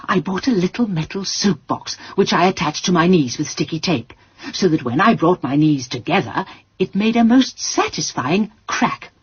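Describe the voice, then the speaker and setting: An actress playing a prim, resourceful English spinster sleuth in a radio drama, speaking in a fussy, genteel and precise manner. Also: female voice, on the low side, expressive, normal speed, breathy edge, highs cut off. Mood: confiding, pleased. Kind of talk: British radio drama acting.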